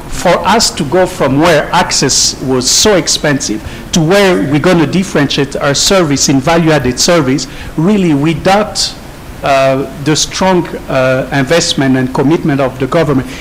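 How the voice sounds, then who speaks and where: A man giving unscripted remarks as a conference panel speaker, halting and often rephrasing mid-sentence. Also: a little high, slight background noise, low-quality recording.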